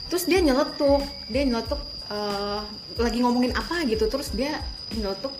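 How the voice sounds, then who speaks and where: A woman's voice: on the high side, moderate pace. A woman in her thirties from Jakarta with a strong Betawi accent, telling stories in a casual chat and laughing often. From Jakarta, Indonesia. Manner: animated storyteller, laughs often, slangy. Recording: echoey room with background music.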